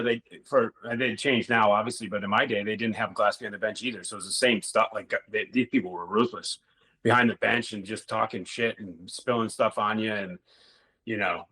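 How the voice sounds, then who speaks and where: A man's voice: lowish voice, steady tone, brisk, pushed and bright, slightly rough, rough recording. A middle-aged man, a former hockey player, telling rambling stories in a casual interview and swearing now and then.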